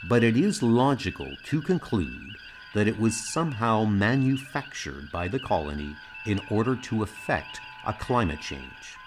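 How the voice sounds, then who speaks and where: A man voicing a calm, logical alien science officer who speaks in a formal, precise, detached way. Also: on the low side.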